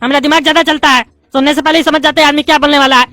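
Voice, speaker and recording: A voice actor doing a squeaky, touchy bald politician. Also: fairly even intonation, sharp tone, no room sound. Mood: arguing. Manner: indignant, rapid-fire arguing and lecturing. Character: bald loudmouth male politician student, touchy and argumentative. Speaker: adult student in a class.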